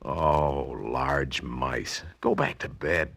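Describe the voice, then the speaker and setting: A man's voice: a bass voice, steady tone, very slow, vocal fry, fairly bright tone, breathy edge, heavily acted. An actor playing an easygoing, skeptical man in his thirties, breezy and colloquial, in 1940s radio style. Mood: sleepy exasperation.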